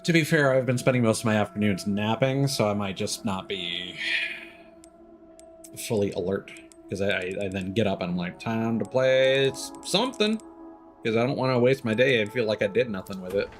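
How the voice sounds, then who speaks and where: A man's voice: lowish voice, animated, normal speed, soft background music. A man doing casual live game commentary, rambling and thinking aloud as he plays. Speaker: game streamer.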